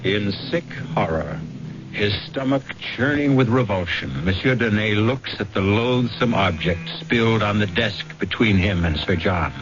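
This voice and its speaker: A man hosting and narrating a radio mystery in a measured, ominous, theatrical way. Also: fairly low pitch, animated. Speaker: host and narrator. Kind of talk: dramatic scripted radio narration. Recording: muffled old radio recording with music.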